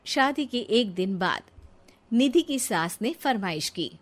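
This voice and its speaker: A woman's voice: fairly high pitch, expressive, slow pace. A woman narrating a scripted cartoon moral story as its storyteller.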